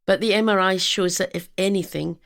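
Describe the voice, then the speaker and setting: A woman playing an earnest, clinical research scientist in a radio drama, formal and measured with some anxiety underneath. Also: medium pitch, fairly even intonation, creaky, a bit bright. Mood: grave, clinical.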